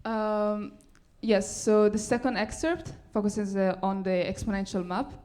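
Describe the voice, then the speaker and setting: Female composer, mid-range voice, giving a live lecture-recital talk in halting, piecemeal phrases. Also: mid-range voice, steady tone, darkish tone. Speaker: composer presenting own work.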